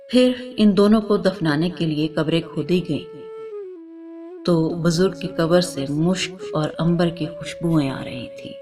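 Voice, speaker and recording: A woman narrator reading a scripted religious moral story. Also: on the low side. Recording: music under the voice.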